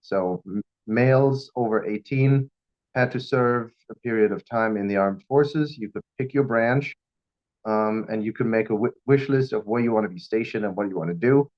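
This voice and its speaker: A middle-aged German man speaks English with a German accent in a fairly low voice. He gives an informal, personal talk as a guest speaker. The recording is a little dull. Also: normal speed. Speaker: guest speaker, firearms instructor.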